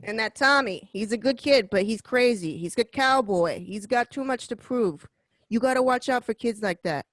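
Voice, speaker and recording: A woman's voice: fairly high pitch, expressive, moderate pace, no room sound. A woman playing a stern, wary mob boss in an amateur radio drama read, blunt and profane, repeating points for emphasis.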